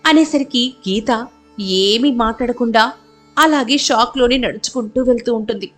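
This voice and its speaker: High-pitched woman storyteller. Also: moderate pace, soft background music. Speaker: story narrator. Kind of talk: scripted dramatic story narration.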